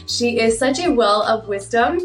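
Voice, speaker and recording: A young woman with a highish voice hosts a casual interview show. She speaks in a gushing, enthusiastic manner. The sound is roomy and set back from the mic, with music behind her. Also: animated, unhurried, recorded from a distance. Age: young adult. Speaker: host of a relationship advice show.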